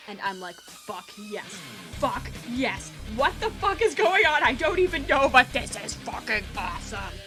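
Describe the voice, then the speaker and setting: A young woman doing a theatrical, sarcastic comic dramatic reading in slangy language, recorded quietly on a homemade game microphone rig. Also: high voice, very animated, a little slow, over music. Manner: theatrical, sarcastic, slangy, acts out quoted dialogue. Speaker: storyteller. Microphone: video game microphone with improvised pop filter.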